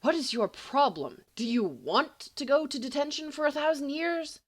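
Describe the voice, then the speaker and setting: A woman's voice: high voice, animated, moderate pace, pitched above the performer's own voice. A woman voicing an exasperated, bookish teenage schoolboy in an audiobook, asking clipped and indignant questions.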